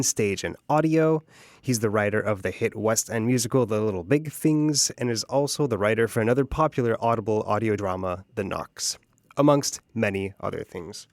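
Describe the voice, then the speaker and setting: A man with a fairly low voice hosts a review podcast. The sound is dry, and he presents and asks questions in a conversational style. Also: no room sound. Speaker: podcast review show host. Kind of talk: conversational hosting and interviewing.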